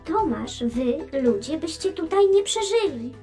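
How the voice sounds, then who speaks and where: A woman voicing a cheerful, curious, gently teasing space probe in a children's educational audio drama. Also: high-pitched, animated, measured pace, soft background music. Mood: informative. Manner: playful, clear storytelling explanation.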